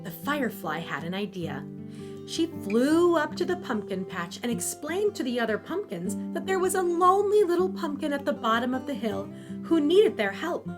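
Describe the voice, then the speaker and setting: Animated, high-voiced woman telling children's stories. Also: moderate pace, over music. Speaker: storyteller. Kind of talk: scripted children's story narration.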